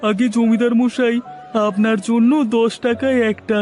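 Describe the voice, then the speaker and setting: A shrill fawning vegetable seller. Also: male voice, level tone, moderate pace, darkish tone, faint music behind. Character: eager male village vegetable seller.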